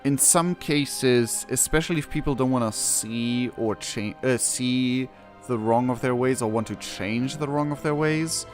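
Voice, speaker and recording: A man streaming a game, reading quest text aloud and reacting casually, with excitable exclamations and hesitant, self-correcting commentary. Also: medium pitch, measured pace, few pauses. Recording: music behind the voice. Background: game music.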